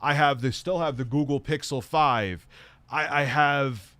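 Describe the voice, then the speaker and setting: A man rambles through a casual livestream, answering viewer comments with plenty of swearing. His voice sits at a middling pitch, close to the mic, in a dry room with no echo. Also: measured pace. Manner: profane, rambling, animated, laughs often. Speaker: tech livestream host.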